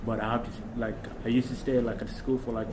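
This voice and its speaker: A young man from Kenya, a talk-show guest, telling childhood stories in a relaxed way with many fillers, recorded indoors on a camera mic a few feet away. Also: mid-range voice, fast, background noise. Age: young adult. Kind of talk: casual chat. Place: small room indoors, seated on a couch.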